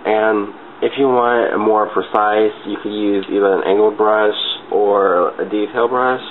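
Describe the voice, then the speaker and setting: Stuffy-nosed, low-voiced man on muffled audio. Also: fairly even intonation, a little slow. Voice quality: stuffy, congested nose. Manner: informal, giggly, loosely rambling. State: has a cold. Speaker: makeup tutorial vlogger. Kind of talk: casual chatty makeup tutorial. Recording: muffled phone-like webcam-style audio, clear noise.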